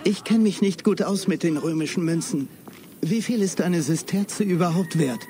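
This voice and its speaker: A chatty, pondering villager in a video game. His voice is rather high for a man, with a gravelly creak and a dark, soft tone. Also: normal speed, slight background noise. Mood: doubtful. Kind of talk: video game background dialogue.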